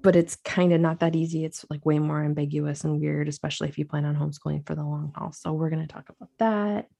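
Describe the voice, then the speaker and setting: A woman's voice: low-pitched, fairly even intonation, quick pace, creaky, mellow, slightly rough. A woman co-hosting a podcast, chatting casually with her sister, with lots of fillers and easy laughter. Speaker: podcast co-host. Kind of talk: casual chat.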